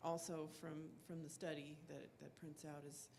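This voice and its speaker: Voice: medium pitch, flat delivery, normal speed, vocal fry, a little mellow. An audience member at a conference workshop, sharing a chatty comment that stumbles over repeated words. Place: conference room.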